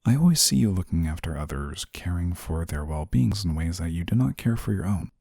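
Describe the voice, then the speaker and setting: A man's voice: sits low, lively intonation, brisk, creaky, dark tone, no room sound, studio quality, heavily acted. A man playing a stern, easily flustered guardian angel in a scripted audio roleplay, speaking formally and with prim reserve. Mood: concerned reproach. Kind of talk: scripted audio roleplay, intimate one-sided dialogue.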